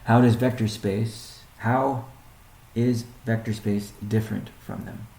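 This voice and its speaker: A man speaking as a chief executive on a conference call, answering questions in a halting, deliberate way with pauses and restatements, in a roomy recording. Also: fairly low pitch, fairly even intonation, vocal fry, dark tone, a little hiss or hum. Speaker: company CEO hosting a weekly conference call. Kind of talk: question-and-answer business briefing. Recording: roomy, echoing sound.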